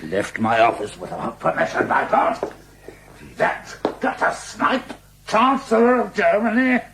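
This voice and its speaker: An older man acting a gruff, scornful old field marshal and president in an audio drama, blunt and imperious. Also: sits high, huge pitch swings, a little slow, background noise, heavily acted. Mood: indignant, mocking.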